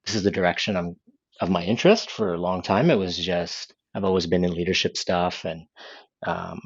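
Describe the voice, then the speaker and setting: A man's voice: deep voice, moderate pace, husky, dry recording, muffled recording. A man from Canada, a podcast guest, explaining his views thoughtfully and at length. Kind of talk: casual conversation.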